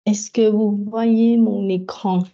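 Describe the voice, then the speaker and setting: Stuffy woman presenting, voice dark. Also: mid-range voice, steady tone, slow pace. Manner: hesitant, many fillers. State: has a cold. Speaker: market expansion consultant. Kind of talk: webinar presentation. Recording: muffled lo-fi video call audio.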